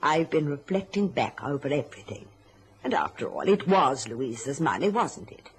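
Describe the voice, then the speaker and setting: A woman's voice: low voice, very animated, moderate pace, slight background noise. An actress playing a bitter, scheming, self-pitying middle-aged mother in an old radio drama, with an indignant, martyred, theatrical manner. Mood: coaxing.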